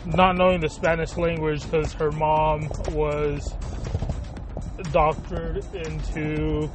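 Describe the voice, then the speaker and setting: Slow, halting male podcast host. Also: a little high, flat delivery. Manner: halting, full of fillers and restarts, searching for words. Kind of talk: casual podcast monologue. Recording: talking over clear background music.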